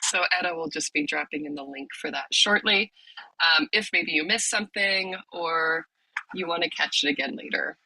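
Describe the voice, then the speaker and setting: A woman leading an online workshop on a video call, explaining the steps in a friendly way with many ums, a little hoarse from a cold. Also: low voice, moderate pace, sharp tone, slight background noise, rough recording. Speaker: workshop leader, lab director.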